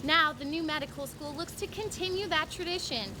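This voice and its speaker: High-pitched young female news reporter. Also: a little fast, noisy background. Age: 20s. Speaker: student television news reporter. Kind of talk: scripted news report narration.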